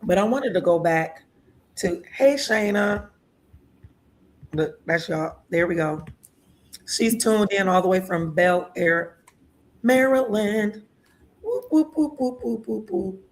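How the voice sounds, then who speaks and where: A woman's voice: mid-range voice, slow, long pauses. A woman in her sixties hosting an interview show, chatty and laughing often. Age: 60s. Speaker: host. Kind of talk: casual interview talk.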